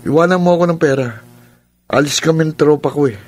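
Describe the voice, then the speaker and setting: Actor as a brash, high-pitched spoiled son. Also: male voice, fast talker, darkish tone, soft background music, lightly acted. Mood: impatient. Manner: wheedling, brash. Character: spoiled, pushy, conniving young wastrel son. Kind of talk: radio drama acting.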